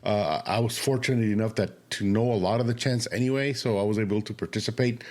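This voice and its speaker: A hoarse man co-hosting a podcast, rambling casually with many fillers. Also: lowish voice, quick pace, a little mellow. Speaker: podcast co-host. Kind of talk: casual chat.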